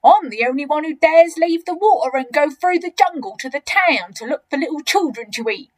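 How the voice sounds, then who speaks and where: Woman voicing a loud, boastful, greedy crocodile. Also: high-pitched, animated, voice pushed up. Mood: boastful, scheming. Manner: gloating, boastful, drawn-out emphatic delivery. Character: enormous greedy boastful male crocodile, nasty and mean. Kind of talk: children's storybook character reading.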